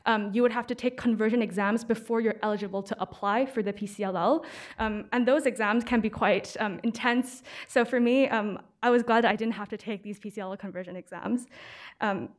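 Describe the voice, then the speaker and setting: A young woman from Hong Kong speaks in a fairly high voice as a student presenter. She explains things in an informative way to an audience, pausing often with ums as she goes. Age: 20s. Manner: informative, hesitant with frequent um pauses. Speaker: law student speaker at an admissions talk. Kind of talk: semi-prepared presentation to an audience. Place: lecture hall, indoors.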